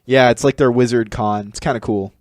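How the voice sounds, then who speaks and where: A man's voice: medium pitch, fairly even intonation, fairly quick, no room sound, studio quality. A man co-hosting a podcast in a casual, rambling discussion style. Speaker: podcast co-host.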